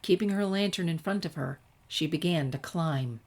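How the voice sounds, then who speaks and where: A woman's voice: deep voice, moderate pace, a little mellow. A woman reading a story aloud as narrator, in a scripted narration style. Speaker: narrator, fan fiction reader.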